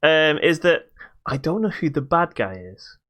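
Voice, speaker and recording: A man co-hosting a podcast, casually reviewing a video game in a halting but emphatic way. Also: a little high, fairly bright tone. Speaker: podcast co-host.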